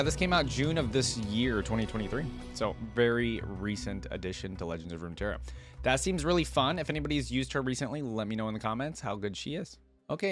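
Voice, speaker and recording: A man with a fairly low voice gives casual reaction commentary. He rambles informally and thinks aloud, with game music clearly playing behind him. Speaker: reaction video host.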